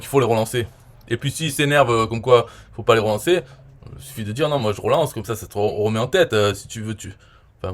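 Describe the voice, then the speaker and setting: A man from France in his forties talking casually and at length, rambling with many fillers and often trailing off quietly. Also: medium pitch. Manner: rambling, frequent "euh" and "voilà", trailing off quietly. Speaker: online marketer reviewing his own interview. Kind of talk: casual explanatory monologue.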